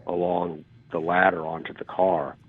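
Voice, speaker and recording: Raspy low-voiced man on a phone line. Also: flat delivery, normal speed, a little hiss or hum. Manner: rambling, self-deprecating, with filler ums. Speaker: actor guest. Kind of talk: casual interview storytelling. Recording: phone-like call audio.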